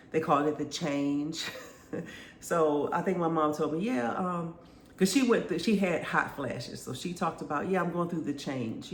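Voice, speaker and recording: A woman in her fifties, congested and stuffy-sounding, chatting casually to her viewers and telling stories with frequent laughs. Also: low-pitched. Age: 50s. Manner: chatty, laughs often, lively storytelling. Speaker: vlogger. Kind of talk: casual chat to camera. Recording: echoey room, faint background noise.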